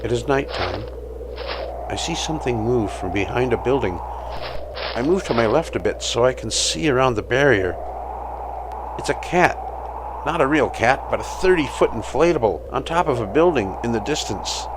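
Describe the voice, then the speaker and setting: A man playing a weary, sleepless night-shift guard, telling his story as a measured dramatic monologue in an audio play. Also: medium pitch, expressive, background noise, lightly acted. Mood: startled, anxious. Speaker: narrator of a short audio play. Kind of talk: scripted first-person dramatic monologue.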